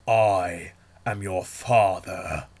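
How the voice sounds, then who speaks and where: A man's voice: low-pitched, very slow, creaky, a little mellow, full character voice. A man playing a weary, protective leader of survivors in an amateur audio drama. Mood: dramatic storytelling. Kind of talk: fan audio drama acting.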